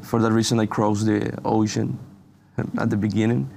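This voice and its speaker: A young man from Venezuela, a university student answering interview questions in halting English and saying "you know" often. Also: lowish voice, little pitch movement, vocal fry, dark tone, a little hiss or hum. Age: young adult. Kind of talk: casual interview.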